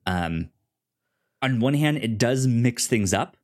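A man co-hosting a podcast, chatting casually about television in a halting way, often repeating words and restarting. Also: medium pitch, animated, darkish tone. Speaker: podcast co-host. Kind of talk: casual TV review chat.

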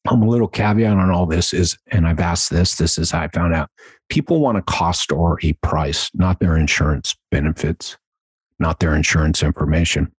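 A man with a very low voice speaks as a coach hosting his own show, in a dry, close recording with little room sound. His delivery is conversational but emphatic, circling back to key points and tagging them with quick check-ins. The voice has a slightly husky edge. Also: no room sound. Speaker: podcast host and sales coach. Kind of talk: solo instructional talk, conversational.

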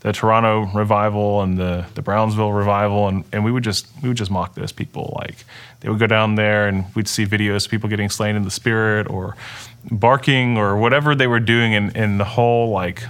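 A middle-aged man whose first language is Dutch shares his story in a conversational interview. His voice sits a little low. He talks earnestly, often pausing and restarting mid-sentence. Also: steady tone, fairly bright tone, smooth. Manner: earnest, halting, many restarts and fillers. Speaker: interview guest. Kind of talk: personal testimony in conversation.

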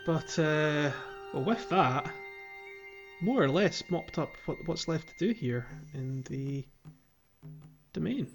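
A man's voice: fairly high pitch, animated, slow pace, long pauses, soft background music. A man co-hosting a podcast, casually talking through a video game in a conversational, somewhat hesitant way. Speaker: podcast co-host.

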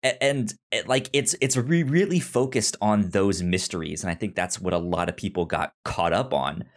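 A man hosting a podcast in casual discussion, speaking haltingly and often stammering over words and sounds. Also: fairly low pitch. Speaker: podcast host.

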